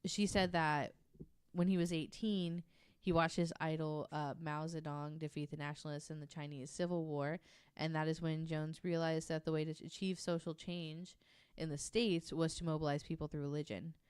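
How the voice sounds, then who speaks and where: Low, smooth-voiced woman podcaster, fairly even. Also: normal speed, few pauses, no room sound, clean studio sound. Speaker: podcast host presenting a researched story. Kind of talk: casual narration from notes with chat.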